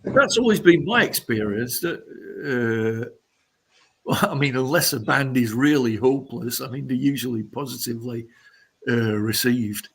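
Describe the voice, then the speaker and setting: A middle-aged or older man, a musician chatting casually and haltingly as an interview guest. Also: mid-range voice, vocal fry, fairly bright tone, breathy edge. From Sheffield, England.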